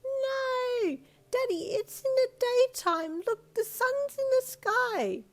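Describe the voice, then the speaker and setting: A woman voicing a stubborn, sleepy-eyed little girl with a high, whining, slow voice. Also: animated, exaggerated voice. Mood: insistent. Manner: high childish whine, slow insistent words.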